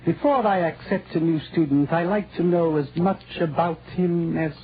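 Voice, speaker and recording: High-voiced, unctuous villainous schoolmaster. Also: male voice, moderate pace, husky. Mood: genteel, probing. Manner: pompous, unctuous, wordy. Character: smooth-talking, sinister schoolmaster doctor, genteel and calculating. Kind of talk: 1940s radio villain acting. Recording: tinny, noisy old radio recording.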